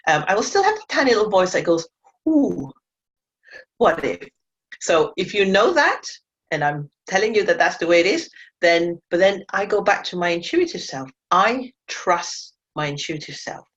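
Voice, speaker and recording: Woman instructor, English not her first language, lowish voice, dull lo-fi call audio, earnest and reflective. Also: sing-song, moderate pace. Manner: earnest, reflective, with self-corrections. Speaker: animal communication teacher. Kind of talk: webinar talk to learners. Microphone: video call mic.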